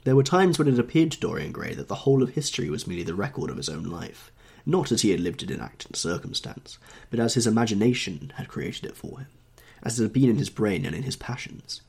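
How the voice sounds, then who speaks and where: A man with a slight cold reading a classic novel aloud as a podcast narrator, in a measured, dramatic way. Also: lowish voice, very animated, gravelly, a little mellow, breathy edge. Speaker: audiobook narrator, podcast host. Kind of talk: scripted literary narration.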